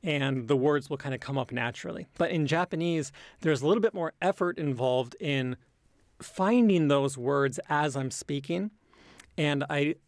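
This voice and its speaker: A man's voice: on the high side, moderate pace, no room sound. Man talking thoughtfully, dry close sound. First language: English. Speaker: interview guest, language learner and comedy creator. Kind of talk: casual reflective interview conversation.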